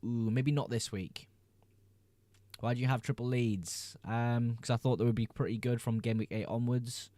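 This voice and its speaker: A man's voice: medium pitch, steady tone, moderate pace, warm tone, no echo. A young man hosting a casual livestream, reading viewers' chat messages aloud and answering them in a laid-back way. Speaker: livestream host.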